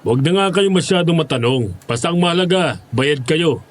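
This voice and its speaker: A man playing a gruff, bossy, evasive overseer of hired cooks in an audio drama, with a Filipino accent and a curt manner. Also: on the high side, expressive, a little fast, a little hiss or hum, voice pushed up, close to an everyday voice. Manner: curt, commanding.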